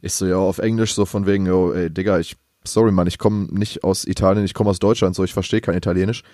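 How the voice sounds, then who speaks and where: A man's voice: deep voice, fairly even intonation, fast talker, warm tone, no room sound, studio quality. A young man chatting casually on a podcast, telling rambling stories in slangy speech. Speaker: podcast co-host. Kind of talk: casual chat.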